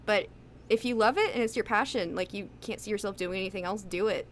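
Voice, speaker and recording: A woman's voice: medium pitch, fast. A woman chatting casually as an interviewed voice actress, emphatic, blunt and encouraging in how she talks.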